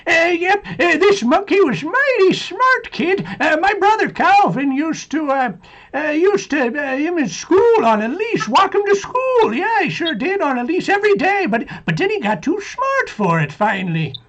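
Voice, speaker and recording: A man playing a gleeful, rambling old-timer in radio comedy, with a halting, folksy, cackling delivery. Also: big pitch swings, fairly bright tone, heavily acted. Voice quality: high-pitched, cackling. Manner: halting, stammering, folksy storytelling.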